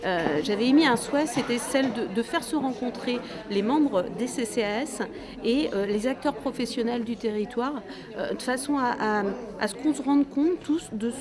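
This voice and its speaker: A woman's voice: a little high, normal speed, crowd noise. A woman speaking as a local official in an unscripted way, searching for words and restarting her phrases. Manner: hesitant, searching for words, repeating phrase starts. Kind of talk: meeting talk and interview, unscripted.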